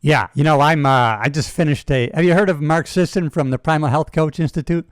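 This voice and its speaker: A man's voice: fairly high pitch, brisk, no room sound, studio quality. A man in his sixties hosting a podcast, chatty and enthusiastic, talking to his guest like a buddy. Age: 60s. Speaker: podcast host. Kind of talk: casual interview talk.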